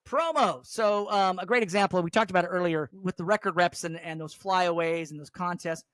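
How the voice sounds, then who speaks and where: Middle-aged radio guy with a high voice, chatty, dry close sound. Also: fairly quick, a bit bright, dry recording. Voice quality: higher voice. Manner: chatty, storytelling, frequent restarts. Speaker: radio host. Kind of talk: casual chat.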